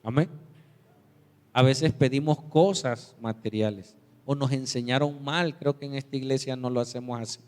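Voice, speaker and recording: A man's voice: steady tone, normal speed, darkish tone. A man preaching a sermon as pastor, telling stories and acting out the voices of the people in them. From Mexico. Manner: storytelling, acts out quoted voices with big pitch jumps. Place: church sanctuary. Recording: reverberant church hall.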